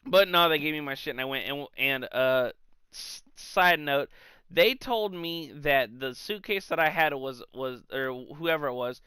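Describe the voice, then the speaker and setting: A man co-hosting a podcast, telling comic anecdotes in a casual, animated, sweary way. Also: on the high side, measured pace, smooth, no room sound. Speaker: podcast co-host.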